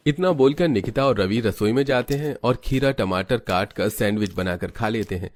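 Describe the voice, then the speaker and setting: A man's voice: fairly low pitch, normal speed. A man narrating a scripted family cartoon story.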